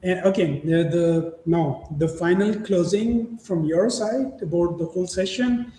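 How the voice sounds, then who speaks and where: A middle-aged man hosting an interview, talking in halting starts with many fillers and frequent laughs. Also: high voice, dark tone. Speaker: podcast host. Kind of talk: casual interview chat. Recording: echoey room with faint ambient noise.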